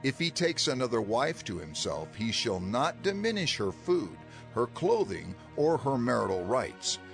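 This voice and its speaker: A man playing a grave prophet and lawgiver, solemn and deliberate, in a dramatized scripture recording. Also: medium pitch, very animated, gravelly, a bit bright, faint music behind, full character voice. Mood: grave instruction.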